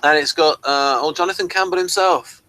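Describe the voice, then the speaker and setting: A man's voice: fairly high pitch, normal speed, pushed and bright. A man co-hosting a review show, chatting casually and hesitantly about audio drama as he meanders through his points.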